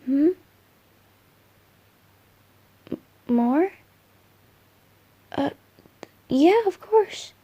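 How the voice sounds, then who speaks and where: Girl doing a soft lovestruck boy spirit for romantic asmr roleplay, talks slow n tender, kinda warm dark tone, breathy murmurs. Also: high voice, expressive, full character voice. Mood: shy, affectionate.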